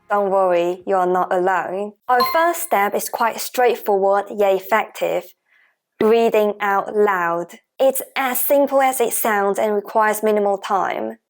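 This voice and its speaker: A woman whose first language is Chinese teaches a Mandarin lesson in English, her voice sitting slightly higher than most. She sounds gentle and encouraging and paces her script with care. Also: slight background noise. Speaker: Mandarin teacher, channel host.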